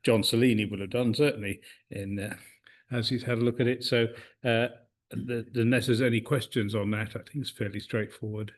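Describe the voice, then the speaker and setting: Loud-voiced man chairing a club meeting. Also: medium pitch, fairly even intonation, fairly quick. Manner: hesitant, many uhs and restarts. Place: meeting room.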